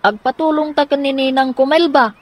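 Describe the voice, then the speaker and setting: A scheming young daughter played by a woman in a radio drama. She talks with earnest, insistent resolve at a fairly high pitch, with faint noise on the recording. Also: a little fast, close to an everyday voice. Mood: determined. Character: scheming, determined young daughter troubled by parents.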